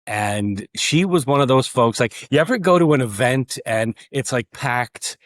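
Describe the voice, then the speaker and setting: A man hosting an interview podcast, talking in a relaxed, chatty way with lots of fillers. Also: medium pitch, unhurried, dry recording. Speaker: podcast host. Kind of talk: conversational interview.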